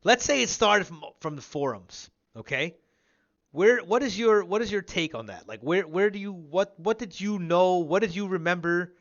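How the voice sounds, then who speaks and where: A man's voice: high-pitched, expressive, moderate pace, fairly bright tone, highs cut off. A man of about fifty hosting a casual gaming interview, talking in a rambling, repetitive, emphatic way. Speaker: show host interviewing a guest.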